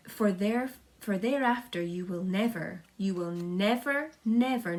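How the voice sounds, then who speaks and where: A woman's voice: medium pitch, lightly acted. Woman playing an eager boy reciting proud rhymes. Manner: sing-song rhyming delivery, showman-like. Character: eager, polite young boy proudly presenting his friends.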